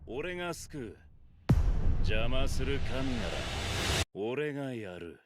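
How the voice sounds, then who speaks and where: Loud music surrounds a defiant male god from an anime. The delivery is dramatic, with pitch that swings widely from phrase to phrase. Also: sing-song, slow. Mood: solemn defiance.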